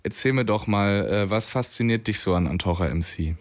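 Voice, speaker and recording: A man hosting a campus radio show, asking a guest casual questions with a relaxed, conversational manner and some fillers. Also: fairly low pitch, fairly quick. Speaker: radio host.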